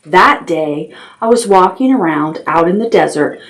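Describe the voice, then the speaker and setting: A woman's voice: lowish voice, unhurried. Woman reading aloud at children's storytime. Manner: expressive, simple child-directed speech with asides to listeners. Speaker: library storytime host. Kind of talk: children's storytime with action songs and read-aloud.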